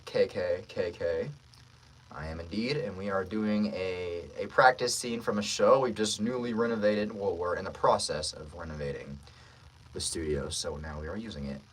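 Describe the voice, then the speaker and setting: A man's voice: lowish voice, low-quality recording. A man hosting a casual livestream as a voice actor, joking playfully with the viewers as he talks.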